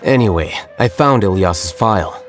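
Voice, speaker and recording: An actor playing a calm, composed male scholar speaking in a measured way. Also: low-pitched, faint music behind. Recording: game soundtrack behind.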